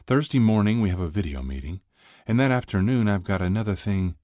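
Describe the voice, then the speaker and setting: Romance audiobook lead, male narrator acting: earnest, humble athlete, hesitant and sincere, lively pitch, dry sound. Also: brisk, dry recording, lightly acted. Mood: hesitant, sheepish. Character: earnest, modest male pro hockey star in love.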